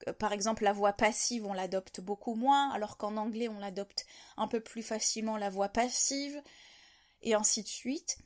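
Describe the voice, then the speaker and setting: A woman's voice: medium pitch, normal speed. A woman talking to camera in an unscripted monologue, reflecting on her ideas and rephrasing them as she goes. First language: French. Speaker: video host sharing language-learning reflections.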